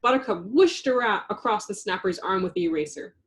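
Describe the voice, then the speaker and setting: A woman reading a children's storybook aloud in an expressive narrating style. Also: mid-range voice, fairly quick. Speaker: storybook reader.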